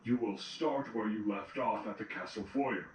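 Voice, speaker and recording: A man acts out an authoritative changeling general in an audio drama. He speaks curtly in a lowish voice. It sounds echoey and a bit distant. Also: normal speed, a little hiss or hum, close to an everyday voice. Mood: stern, impatient. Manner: curt, authoritative. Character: stern, commanding male changeling general. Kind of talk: fan audio drama acting.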